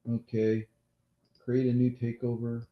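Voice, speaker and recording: A man hosting a casual live-stream quiz game who has a loud voice, repeats each question and apologizes during asides. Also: mid-range voice, monotone, a little slow. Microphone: laptop mic. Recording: echoey room, dull lo-fi laptop audio.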